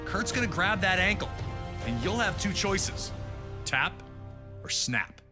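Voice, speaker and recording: A man's voice: high voice, sing-song, measured pace. A man presenting scripted sports documentary narration as its host, with a storytelling delivery. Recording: music behind voice. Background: music.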